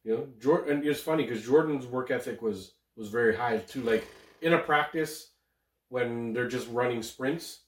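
A man's voice: medium pitch, normal speed, roomy sound, recorded from a distance. A man, co-hosting a casual podcast, rambling and slangy and laughing easily, sounding slightly stuffed up. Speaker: podcast co-host. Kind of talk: casual chat.

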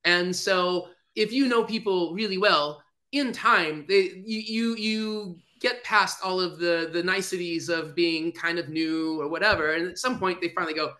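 A man's voice: sits high, sharp tone. A man, a guest chatting casually, who halts and restarts often as he talks. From United States. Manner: halting, many restarts and fillers. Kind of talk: casual chat over video call.

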